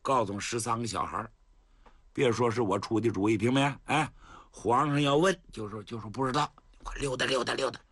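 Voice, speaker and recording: A man voicing a sly, scheming old general who barks orders in a loud, high voice. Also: husky, no room sound, clean studio sound. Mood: bossy, hurried. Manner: brisk, shouting orders.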